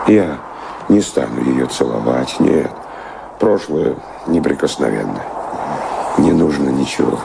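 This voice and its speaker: An actor playing a brooding man in a slow, deliberate monologue for an audio drama. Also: extremely low pitch, gravelly, heavily acted. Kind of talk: theatrical audio drama acting. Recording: very noisy old radio play recording.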